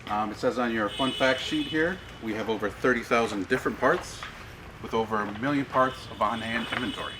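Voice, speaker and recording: A man's voice: mid-range voice, fairly quick. A man in his thirties with an American accent, a parts manager guiding a group through a warehouse and asking them questions. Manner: friendly, explanatory, asks the group questions. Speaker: parts manager giving a tour. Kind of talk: guided tour talk to a group. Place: large warehouse with tall shelving, indoors. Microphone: far camera held by a tour member. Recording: noisy warehouse from a handheld camera.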